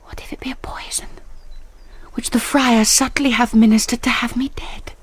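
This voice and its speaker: A woman's voice: on the high side, steady tone, moderate pace, natural voice. A British actress playing a frightened young noblewoman in a Shakespearean radio drama, in a dramatic soliloquy that slips into whispers. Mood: dread. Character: frightened, lovelorn young noblewoman steeling herself.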